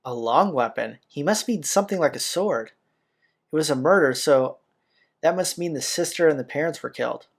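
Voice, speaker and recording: A man's voice: a little high, expressive, normal speed, creaky. A man reading a visual novel's narration aloud as a let's player, with occasional casual asides. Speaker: video game let's player.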